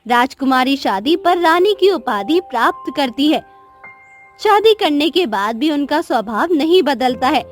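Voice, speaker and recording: A woman narrating a cartoon story in a steady, clear storytelling manner. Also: sits high, unhurried, faint music behind.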